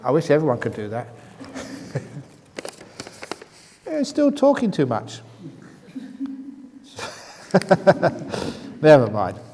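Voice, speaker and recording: Male meditation teacher, higher voice, playful teasing delivery, long hall reverb. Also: darkish tone. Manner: joking, teasing, reads out audience questions. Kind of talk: retreat Q&A talk.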